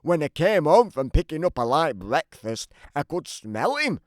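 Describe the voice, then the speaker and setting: Grumpy hungry giant, acted by a man; theatrical children's storybook read, fairly high for a man, close dry sound. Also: sing-song, moderate pace, dry recording. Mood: grumbling storytelling. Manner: theatrical, emphatic storybook delivery. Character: grumpy, aggrieved, always-hungry big giant telling his side. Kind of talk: scripted children's storybook narration.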